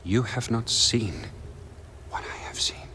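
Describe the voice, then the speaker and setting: An actor playing a smug, condescending villain in a video game, speaking with formal, measured menace. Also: male voice, medium pitch, sharp tone, in a whisper. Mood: cold condescension. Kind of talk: video game cutscene acting.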